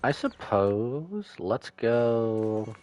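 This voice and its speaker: A man's voice: mid-range voice, very animated, very slow, a little mellow, muffled recording. A man streaming a game, giving casual live commentary and reading along. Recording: game music faintly behind the voice.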